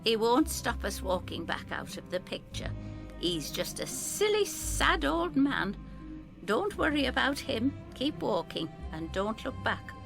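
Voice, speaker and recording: A woman's voice: fairly high pitch, sing-song, a little slow, vocal fry, over music, pitched above the performer's own voice, heavily acted. A woman voicing a proud, tart older woman, a wronged daughter, in a theatrical audio drama style. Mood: brisk, dismissive.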